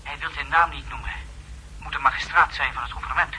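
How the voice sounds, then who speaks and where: An actor playing a polite, earnest young student in a 1960s radio drama, courteous and quick to comply. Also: male voice, fairly high pitch, steady tone, pushed and bright, a little hiss or hum, low-quality recording. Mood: polite, pressing. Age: young adult. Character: eager, polite young male student running an errand. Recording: fuzzy old radio recording.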